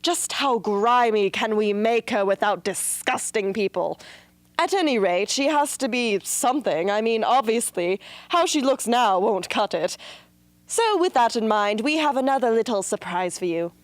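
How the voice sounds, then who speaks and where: A woman playing a brisk, image-obsessed film director in a radio play, theatrical and condescending. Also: fairly high pitch, expressive, dry recording, natural voice. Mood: appraising. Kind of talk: amateur radio drama acting.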